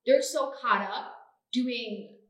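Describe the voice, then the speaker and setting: A young woman whose first language is Dutch, chatting casually and reflectively, with pauses and fillers. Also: on the high side, a bit bright. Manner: reflective, hesitant, many "um" and "you know". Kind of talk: casual chat. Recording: echoey room.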